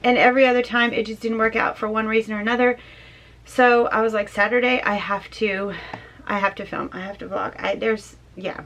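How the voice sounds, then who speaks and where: A middle-aged woman chatting casually in a home vlog, hoarse from mild laryngitis and worn out, rambling softly and trailing off. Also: on the high side, little pitch movement, brisk, slight background noise. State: tired and worn out. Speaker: home vlogger. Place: small closed pantry indoors.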